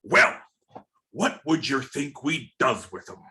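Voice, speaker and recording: Long John Silver, animated old pirate. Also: male voice, mid-range voice, full character voice. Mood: scheming, impatient. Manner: folksy sailor talk, drawn-out storytelling. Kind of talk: radio play reading. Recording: remote video-call reading.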